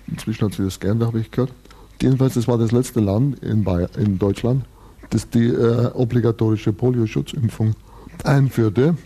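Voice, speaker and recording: Dark-voiced man in his sixties, reflective. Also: medium pitch, fairly even intonation, normal speed, slightly rough. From Bavaria, Germany. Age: 60s. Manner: thoughtful, long-winded, restarts and fillers, dry humor. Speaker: interviewee. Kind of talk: reflective personal storytelling in a radio interview.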